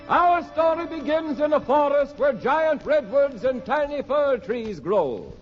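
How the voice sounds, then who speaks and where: A man proclaiming a scripted storybook tale as a deliberate old-time radio narrator. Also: very high-pitched. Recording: dull old radio recording.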